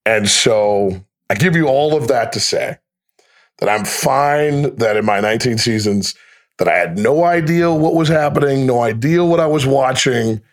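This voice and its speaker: A man with a mid-pitched voice chats casually on a podcast. He has the storytelling habit of a sports announcer, laying things out in short clipped phrases with wry, slangy turns. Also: expressive, normal speed. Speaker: basketball radio play-by-play announcer, guest.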